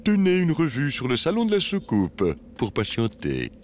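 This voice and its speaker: A man voicing a smooth-talking alien clothes salesman in a cartoon, theatrical and flattering. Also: a little high, moderate pace, faint music behind.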